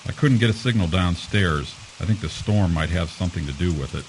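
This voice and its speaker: A man playing a gruff, irritable police commissioner in an audio mystery drama, brusque, sarcastic and impatient. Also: low voice, animated, fairly quick, background noise. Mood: businesslike, grumpy.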